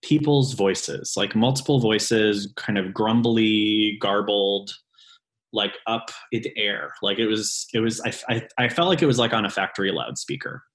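A man in his late twenties who works as a ghost tour guide, chatting casually in an interview, with lots of ums and sudden lively jumps in pitch. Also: unhurried. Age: late 20s.

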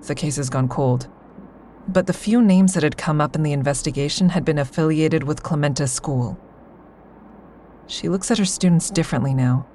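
A woman's voice: deep voice, a little mellow, slight background noise, natural voice. A woman playing a sardonic, anxious insomniac, confiding dryly as she narrates a first-person audio drama. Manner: wry, confiding, dry.

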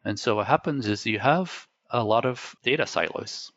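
A man's voice: medium pitch, moderate pace, fairly bright tone, lo-fi sound. A man from Belgium whose first language is Dutch, explaining ideas step by step and often checking in with a rhetorical "right?". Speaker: tech company guest. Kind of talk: conversational explaining.

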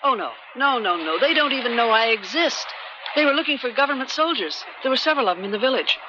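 A woman's voice: a little high, expressive, fast, close to an everyday voice. An actress playing a guarded, insistent woman on a secret mission in a 1970s radio drama, earnest and defensive. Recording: muffled, noisy 1970s radio recording.

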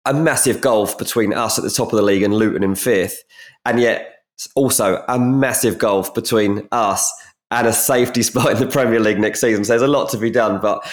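Raspy, excitable lower-voiced man. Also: fairly quick. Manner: excitable, laughs often, says mate. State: raspy from shouting. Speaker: podcast co-host. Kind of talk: casual football podcast chat.

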